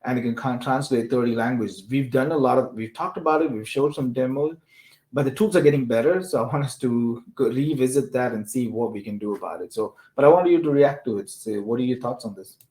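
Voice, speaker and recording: A man's voice: mid-range voice, fairly quick, darkish tone. A man hosting a casual discussion, explaining ideas in a loosely structured, conversational way and often checking in with the listener. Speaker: host of a tech discussion show. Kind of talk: casual discussion of technology and business use cases.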